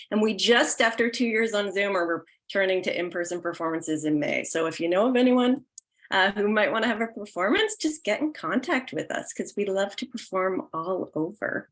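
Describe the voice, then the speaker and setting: A woman with a Canadian accent giving an informal online presentation as a theatre director, in a friendly, welcoming way. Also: mid-range voice. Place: indoors, online video call.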